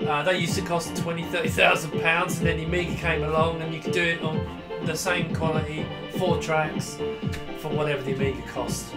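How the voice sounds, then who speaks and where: A man's voice: on the high side, level tone, brisk, loud music behind the voice. A man, giving an enthusiastic, rambling, casual hands-on computer demonstration. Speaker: retro computer vlogger. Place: small indoor home computer room.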